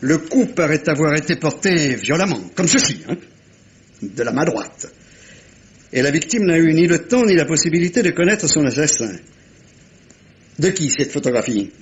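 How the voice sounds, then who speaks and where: A fussy polite detective in a radio whodunit, husky voice, swooping intonation, probing questions. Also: male voice, fairly high pitch, lively intonation, normal speed, long pauses. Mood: inquisitive. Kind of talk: French radio mystery drama acting.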